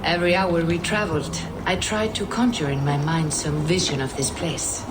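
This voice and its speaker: A woman playing a wise, serene Norse seeress in a video game, speaking in measured, poetic, gracious phrases. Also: sits low, steady tone, music in the background, lightly acted. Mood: serene, reflective. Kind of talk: dramatic video game acting.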